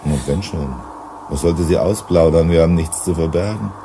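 An actor in a German sci-fi radio play, playing a blunt, hard-nosed mining boss with a gruff, dismissive manner. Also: male voice, deep voice, normal speed, noisy background. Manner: gruff, dismissive, self-assured.

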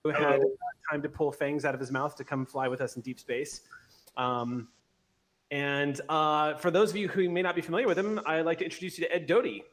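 A man's voice: a little high, steady tone, brisk, a bit bright, rough recording. A man in his thirties casually hosting a tabletop roleplay livestream as game master. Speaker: game master and stream host. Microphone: desk mic on video call.

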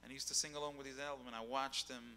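A young adult man, a singer as guest, talking casually into a handheld mic on a dark stage, often repeating words as he thinks. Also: medium pitch, fairly even intonation, very fast, in a large hall, a little hiss or hum. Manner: rambling, repeats words while searching.

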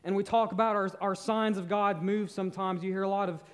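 A young man preaching a sermon on a church stage, earnest, shifting between loud emphasis and soft, near-whispered moments. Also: high voice, fairly even intonation, a little fast, clean tone. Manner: paces the stage gesturing with both hands. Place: church stage in a large hall.

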